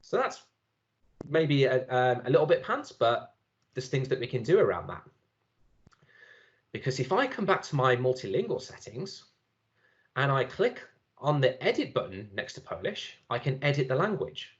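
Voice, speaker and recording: A man giving a relaxed, chatty software demo at a conference, with many fillers and restarts. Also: mid-range voice, moderate pace, long pauses, highs cut off. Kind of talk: live screen-share demo talk.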